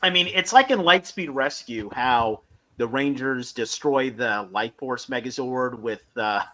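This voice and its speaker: A man hosting a casual fan discussion, speaking in halting stretches with emphatic bursts. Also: mid-range voice, sharp tone, poor audio. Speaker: podcast host reviewing a fan audio drama.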